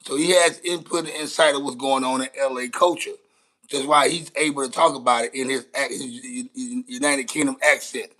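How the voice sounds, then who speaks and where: A man's voice: mid-range voice, steady tone, fairly quick, sharp tone, poor audio. An American man giving casual livestream commentary, with a blunt, slangy, repetitive way of talking. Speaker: content creator reacting to news clips.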